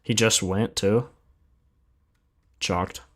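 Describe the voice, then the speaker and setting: A man's voice: lowish voice, fairly even intonation, extremely slow, a bit bright. A young man hosting a sports betting livestream, chatting casually in slangy, informal language and thinking aloud as he goes. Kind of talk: casual livestream chat and commentary.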